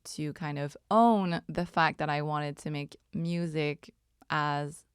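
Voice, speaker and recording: A woman in her thirties from Montreal, a native French speaker with a French Canadian accent, hosting a reflective solo podcast in a musing, unhurried way. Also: sits low, fairly even intonation, clean tone, no room sound, clean studio sound. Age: 30s. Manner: unhurried, musing, with many ums and soft laughs. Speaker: podcast host.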